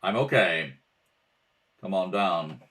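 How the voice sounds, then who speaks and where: A man's voice: low voice, slow, fairly bright tone, distant mic. A man playing a cool, wry, take-charge detective husband in an amateur radio mystery read-through. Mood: bold, reassuring. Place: at a kitchen table at home.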